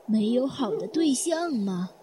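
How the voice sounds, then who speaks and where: A woman acting in a Chinese audio drama as a courteous, composed elderly hotel guest with a polite, measured way of speaking. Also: on the high side, lively intonation, warm tone, a little hiss or hum. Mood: polite, attentive.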